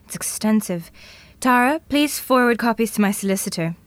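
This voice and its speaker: An actress in an audio drama playing a busy, businesslike professional woman who gives brisk orders. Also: fairly high pitch, expressive, no echo, lightly acted. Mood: preoccupied.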